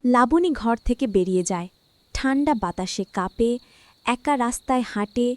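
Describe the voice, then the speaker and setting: A woman narrating a cartoon fairy tale in an even storytelling voice. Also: a little high, normal speed.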